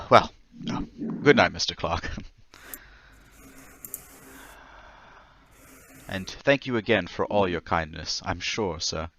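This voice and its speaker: A man's voice: deep voice, a little slow, fairly bright tone, full character voice. An actor playing a timid, troubled stocking salesman in an old-time radio drama, hesitant, stammering and deferentially polite. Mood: meek, grateful.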